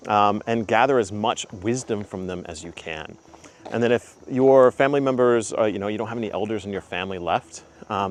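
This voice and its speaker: A young man hosting his own channel, giving heartfelt spiritual advice in a casual, earnest way, with frequent ums and uhs. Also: fairly low pitch. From United States. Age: young adult. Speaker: channel host.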